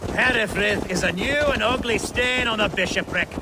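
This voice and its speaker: A man's voice: high voice, normal speed, noisy background, lightly acted. An actor playing a grave, outraged medieval churchman in a video game, solemn and indignant. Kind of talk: video game character acting.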